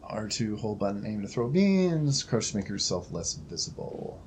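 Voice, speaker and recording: A man's voice: on the low side, very animated, normal speed, darkish tone, a little hiss or hum. A man giving casual, colloquial gameplay commentary, reacting and muttering to himself as he plays. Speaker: game streamer. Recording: faint game music behind the voice.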